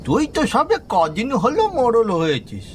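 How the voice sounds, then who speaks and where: A man's voice: very high-pitched, wildly swooping pitch, moderate pace, exaggerated voice. A man playing a proud, touchy old village elder in a horror cartoon, given to grumbling and scolding. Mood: irritated, scolding. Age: older. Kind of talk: Bengali horror cartoon voice acting. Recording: rain and thunder noise behind.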